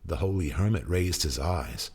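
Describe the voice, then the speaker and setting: A man giving a theatrical, solemn and deliberate dramatic recitation of a poem, with music. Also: very deep voice, lively intonation, gravelly, darkish tone, slightly rough. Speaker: poetry reader. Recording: music played behind the voice.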